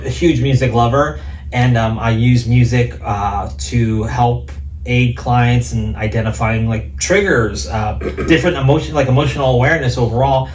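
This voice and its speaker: A man co-hosting a casual podcast, easygoing and teasing, with many fillers. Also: mid-range voice. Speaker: podcast co-host, counselor. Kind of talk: casual chat. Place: studio office. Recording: echoey room, mic at a distance, faint noise.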